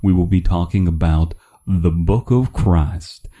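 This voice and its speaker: A man's voice: deep voice, measured pace, dark tone. A man playing a pompous, earnest radio preacher delivering a solemn, sanctimonious sermon with formal wording. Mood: solemn, preachy.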